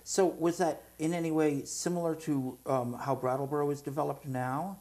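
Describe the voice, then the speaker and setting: A woman with a very low, dark voice hosts a local interview show. She puts questions to her guest conversationally, in soft-edged, mellow tones. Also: steady tone, normal speed.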